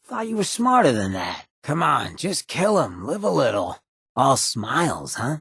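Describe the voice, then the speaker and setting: An actor playing a roguish, charismatic ghoul mayor with a gravelly voice and a sly, streetwise drawl, in video game dialogue. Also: male voice, mid-range voice, wildly swooping pitch, a little slow, a bit bright, dry recording, clean studio sound, exaggerated voice. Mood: goading, sardonic. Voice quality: gravelly, raspy. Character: charismatic irradiated ghoul mayor, roguish, swaggering outlaw leader.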